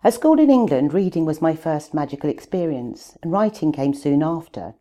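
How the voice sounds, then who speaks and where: A woman's voice: deep voice, sing-song, normal speed. Wry older woman reading her memoir. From Wales. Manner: wry, gently humorous. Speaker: author.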